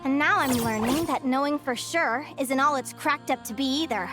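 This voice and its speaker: A woman voicing a plucky, thoughtful young female adventurer in a video game, earnest and expressive. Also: high-pitched, moderate pace, close to an everyday voice. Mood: reflective, resolute. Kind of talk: video game voice acting, dialogue. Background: faint game music.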